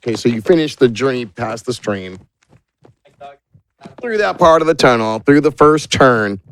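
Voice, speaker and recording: A man acting as game master, narrating an improvised tabletop game in a halting, conversational, jokey way. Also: mid-range voice, animated, a bit bright, clear, resonant tone.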